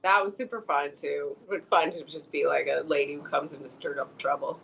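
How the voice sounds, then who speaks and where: A middle-aged woman from Canada, an actress chatting casually as a podcast guest, frank and quick to laugh. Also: deep voice, steady tone, gravelly, a bit bright, a little hiss or hum, poor audio. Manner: easygoing, laughs often, frank. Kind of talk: casual interview chat.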